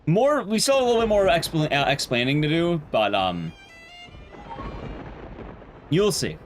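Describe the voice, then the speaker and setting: A man talks as a livestream host in a casual, slangy way. His pitch moves around a lot, swinging from emphatic points to teasing hints. Music plays softly behind his voice. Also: big pitch swings, unhurried. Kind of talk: casual game stream commentary, chatting with viewers.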